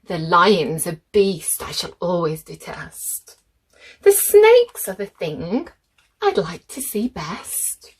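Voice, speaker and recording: A woman's voice: on the low side, sing-song, takes it slowly, breathy edge, voice pushed down, heavily acted. A woman voicing an opinionated zoo visitor in a children's storybook, declarative and emphatic. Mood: disdainful.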